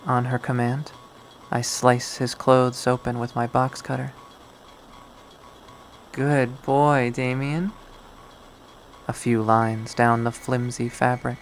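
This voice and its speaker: A man's voice: medium pitch, level tone, takes it slowly, long pauses, slight background noise, low-quality recording. A man voicing a jaded, unhinged young woman artist in a bitter, profane, rambling monologue from a visual novel. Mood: cold, menacing. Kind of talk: dramatic visual novel readthrough.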